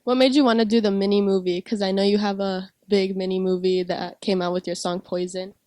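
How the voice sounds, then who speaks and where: A woman's voice: mid-range voice, level tone, brisk, darkish tone, no room sound, studio quality. A woman hosting an interview, asking short, direct questions.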